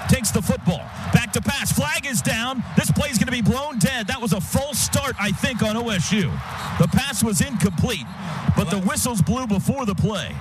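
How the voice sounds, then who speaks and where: High-pitched, excited American football radio announcer, male. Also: moderate pace. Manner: excited, clipped short phrases, shouts on big plays. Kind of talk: live American football radio play-by-play commentary. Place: stadium radio booth. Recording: loud crowd and stadium noise behind.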